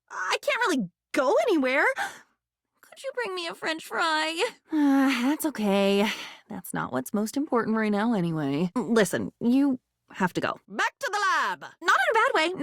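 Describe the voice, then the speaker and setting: A woman playing a living diary in a video game, a curious, quirky and excitable character who muses aloud in a chatty, theatrical, whimsical way. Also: a little high, no room sound, clean studio sound, full character voice. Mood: playful, wistful, then brisk, excited.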